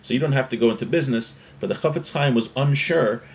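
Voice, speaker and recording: A man's voice: mid-range voice, fast talker. A man giving an informal religious lesson as a teacher, reading from a text and explaining it point by point, with rhetorical check questions.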